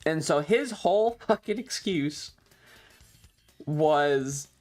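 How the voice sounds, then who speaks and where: A man's voice: a little high, animated, slow pace, a little mellow, faint music behind. A man chatting casually as a streamer, telling stories in an exasperated, rambling, sweary rant. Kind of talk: casual stream chat, ranting storytelling.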